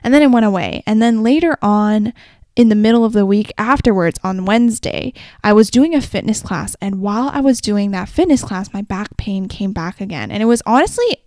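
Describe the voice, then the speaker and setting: A young woman hosting her own podcast, chatting casually and rambling a little, her voice slightly raspy from a sore throat. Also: mid-range voice, lively intonation, fairly quick, darkish tone, no room sound, studio quality. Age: young adult. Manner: chatty and rambling, frequent "like" and "kinda". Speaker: podcast host. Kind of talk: casual solo podcast chat.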